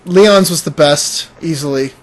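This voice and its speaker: A man's voice: on the high side, unhurried. A man co-hosting a gaming show, giving casual commentary on video game play. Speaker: video game podcast host.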